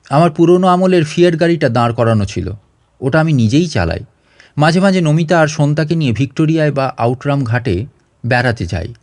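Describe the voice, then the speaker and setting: A man's voice: on the high side, darkish tone, no echo. Man narrating a story, close dry sound. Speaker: audiobook narrator. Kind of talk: dramatic scripted story narration.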